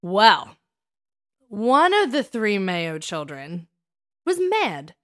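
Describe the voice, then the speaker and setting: A woman in her thirties speaks as a podcast co-host at a medium pitch. She tells personal stories in a casual, animated way. She leans on words and repeats them for emphasis. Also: very slow, no echo, studio quality. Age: 30s.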